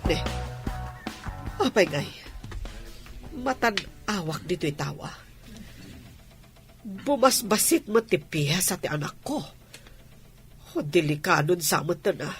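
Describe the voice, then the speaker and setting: An actor with an Ilocano accent playing an anxious, excitable parent in a radio drama, exclaiming over a child's contest. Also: medium pitch, slow, long pauses, faint music behind. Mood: worried. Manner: excitable, exclaiming running commentary.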